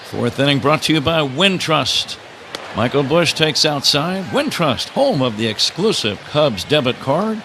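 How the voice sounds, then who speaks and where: A man's voice: on the high side, expressive, unhurried. A man doing live baseball play-by-play on the radio from a ballpark booth. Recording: crowd and stadium noise behind. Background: ballpark crowd and ambient noise.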